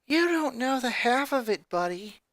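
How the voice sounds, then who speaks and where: A narrator voicing a bitter young boy, pitched up. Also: lively intonation, normal speed, a bit bright, no room sound. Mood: weary. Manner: accusing, clipped, scolding. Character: bitter, hurt young boy refusing to forgive.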